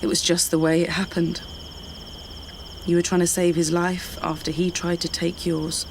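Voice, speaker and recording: Middle-aged British woman, candid and weary, fry in the voice, naturalistic radio acting, noisy night exterior. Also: on the low side, flat delivery, moderate pace. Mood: tender, reflective. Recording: night insects and outdoor ambience noise.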